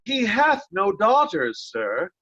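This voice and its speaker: Lady as faithful nobleman in shakespeare, slow earnest urging, kinda muffled lo-fi audio. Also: medium pitch, wildly swooping pitch, a bit bright, full character voice. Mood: concerned. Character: loyal, pleading nobleman attending an old king. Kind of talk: amateur Shakespeare reading.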